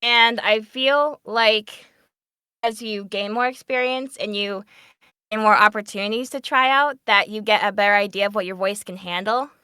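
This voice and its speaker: A woman talks casually on a voice acting panel, animated and chatty. Her voice is bright and smooth at a middling pitch. The sound is close and dry, with little room around it. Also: normal speed, no room sound. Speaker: voice actor on a convention panel.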